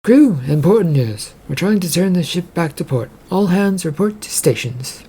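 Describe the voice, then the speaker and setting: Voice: medium pitch, animated, normal speed, warm tone, husky, voice pushed up. A narrator voicing an authoritative woman officer giving orders to a ship's crew. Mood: urgent.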